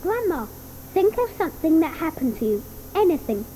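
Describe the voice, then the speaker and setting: Actress as a kind young boy: eager and earnest, with a lively, bouncing delivery. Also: extremely high pitch, moderate pace, slight background noise, poor audio. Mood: coaxing. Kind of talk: 1980s studio radio play acting.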